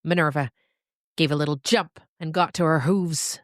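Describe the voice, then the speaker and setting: A woman narrating scripted fiction as a storyteller, with expressive, deliberate phrasing and dramatic pauses. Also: low-pitched, no room sound, studio quality.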